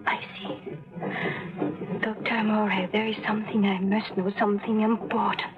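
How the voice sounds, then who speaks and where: A proud, guarded young woman, played by an actress, speaks softly and with strong feeling in formal phrases. It sounds like a tinny old radio broadcast, with music behind her. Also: medium pitch, flat delivery, measured pace, lightly acted. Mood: wistful. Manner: soft-spoken, emotional, formal phrasing. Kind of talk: 1940s radio drama acting.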